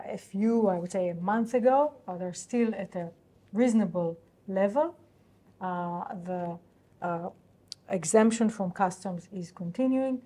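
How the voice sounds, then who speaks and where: A woman answering investor questions on an earnings call in a halting, businesslike, explanatory way. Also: medium pitch, warm tone.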